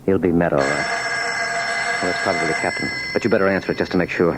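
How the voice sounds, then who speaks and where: Through a noisy vintage radio recording comes an actor as a police sergeant who talks quickly. He is a steady, practical man, deferring to his captain, in 1940s radio drama style. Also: low-pitched, close to an everyday voice.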